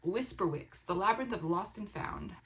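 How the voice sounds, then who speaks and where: A woman vlogger chatting casually and excitedly to the camera, animated and rambling with expressive asides. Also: medium pitch, normal speed, a little hiss or hum. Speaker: book haul vlogger and fiction author.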